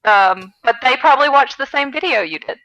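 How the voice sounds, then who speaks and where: A woman with a fairly high, bright voice chats as a podcast co-host. She talks informally about films, with a wry, conversational delivery. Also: lively intonation, fairly quick, lo-fi sound. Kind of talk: casual film discussion podcast chat.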